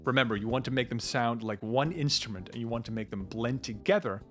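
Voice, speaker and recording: A young man with a low, full voice explaining vocal recording techniques to camera in a small home studio, talking with animated hand gestures. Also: fairly quick, faint music behind. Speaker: music producer and vocal coach. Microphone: studio condenser mic on boom arm in front.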